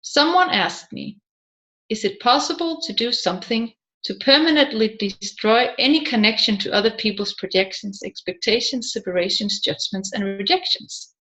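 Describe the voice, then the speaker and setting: A woman reading a scripted audiobook in a measured, deliberate way, phrase by phrase. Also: mid-range voice, fairly bright tone, low-quality recording. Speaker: audiobook narrator.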